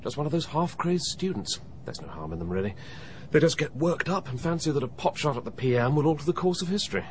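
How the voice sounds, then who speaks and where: An actor playing a genial, unruffled middle-aged banker in a radio drama, breezy and understated in manner. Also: male voice, fairly high pitch, lively intonation, fast, a little hiss or hum, lightly acted. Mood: breezy, amused. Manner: breezy, understated, self-deprecating. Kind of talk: BBC radio drama acting.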